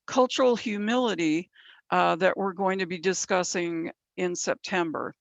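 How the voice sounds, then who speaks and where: A woman's voice: fairly low pitch, normal speed, vocal fry, no room sound, lo-fi sound. A woman presenting a mentoring training session, explaining step by step and often checking in with "right?", her voice a little worn. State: losing her voice. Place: from San Bernardino County, California.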